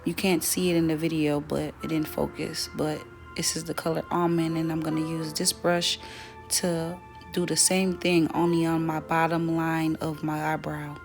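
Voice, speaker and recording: A young woman with a slightly raspy, dry voice, chatting casually through a makeup tutorial. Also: sits low, moderate pace, soft background music. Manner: relaxed, chatty, self-correcting. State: voice dry from weather. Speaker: beauty vlogger.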